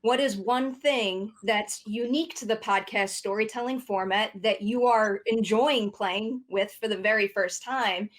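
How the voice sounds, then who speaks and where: A woman moderating a panel, interviewing guests in an upbeat style. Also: on the high side.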